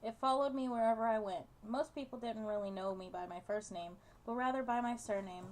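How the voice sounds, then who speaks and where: A woman's voice: fairly high pitch, fairly quick. A woman casually reading a visual novel's narration aloud, now and then stumbling over the written words. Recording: noticeable background noise.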